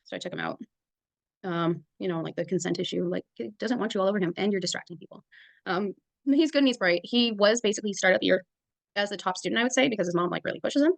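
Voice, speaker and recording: A woman's voice: medium pitch, lively intonation, quick pace, vocal fry, no echo. A woman who is a kindergarten teacher chatting casually on a video call, rambling with many fillers. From native English speaker. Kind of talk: casual mentor meeting chat.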